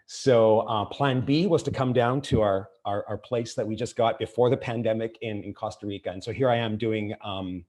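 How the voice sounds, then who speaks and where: A man's voice: fairly low pitch, steady tone, normal speed, hardly any pauses, a little mellow. A middle-aged man from Argentina giving a conference keynote over a video call, hesitant, with many fillers and restarts. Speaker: keynote speaker. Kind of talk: online conference keynote talk.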